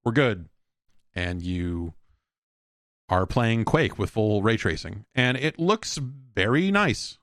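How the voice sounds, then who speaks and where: A man's voice: lowish voice, expressive, slow, fairly bright tone, no room sound, clean studio sound. A man hosting a podcast, talking casually and conversationally on his own about video games, rambling with wry asides and acted-out imagined exchanges. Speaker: podcast host. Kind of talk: casual solo video game podcast talk.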